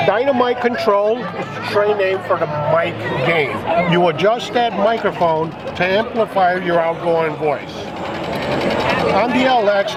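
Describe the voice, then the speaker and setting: High-voiced man explaining over a loud crowd. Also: heavy background noise. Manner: explanatory, step by step with some restarts. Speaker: radio company expert. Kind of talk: product demonstration. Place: busy trade show floor, indoors. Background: loud crowd chatter.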